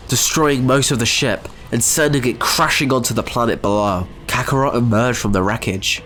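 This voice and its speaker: A man with a mid-pitched voice delivers scripted story narration in a dramatic style. Faint music plays behind him as he narrates. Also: fairly bright tone.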